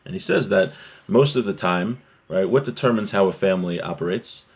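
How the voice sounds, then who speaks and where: Husky low-voiced man teaching Torah. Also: lively intonation, fairly quick. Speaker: religious teacher giving a Torah class. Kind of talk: religious lesson, explaining a Hebrew text line by line in English.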